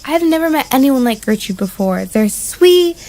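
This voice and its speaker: Woman playing a high-voiced, smitten, awkward runaway. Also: expressive, normal speed, a little mellow. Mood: defensive, dreamy. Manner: gushing, flustered. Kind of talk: audio drama acting. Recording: faint music behind.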